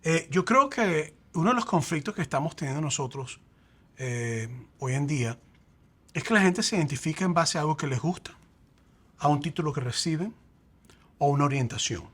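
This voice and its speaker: A man, a pastor, explaining faith and identity in a lively conversational way, acting out quoted examples. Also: fairly high pitch, moderate pace, husky.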